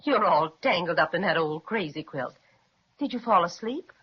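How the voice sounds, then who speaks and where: An actress playing a gentle, fretting mother of two children, with a breathy voice, in a 1930s radio serial. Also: on the low side, expressive, moderate pace, slight background noise, lightly acted. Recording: muffled old radio recording.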